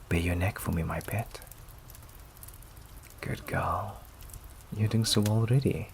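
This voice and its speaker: Man acting a deep, gravelly, possessive vampire. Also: slight background noise, heavily acted. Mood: hushed, predatory. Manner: slow, silky, often breathy near-whisper, condescending endearments. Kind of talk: ASMR roleplay acting. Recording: steady rain ambience behind the voice. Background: rain.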